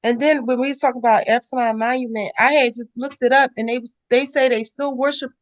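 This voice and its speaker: A woman's voice: on the high side, fairly even intonation, fairly quick, dry recording. A woman taking part in a casual group discussion of scripture. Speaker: participant in a Bible read-along discussion.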